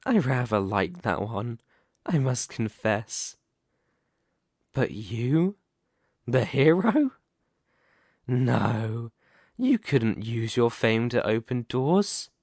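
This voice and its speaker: A man's voice: mid-range voice, big pitch swings, a little mellow, no echo, heavily acted. Man acting a proud, vengeful demon king, close and dry. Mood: mocking, amused. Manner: theatrical, gloating, deliberate with drawn-out emphasis. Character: vengeful, proud demon king back from death, mildly yandere. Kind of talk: ASMR roleplay monologue addressed to the listener.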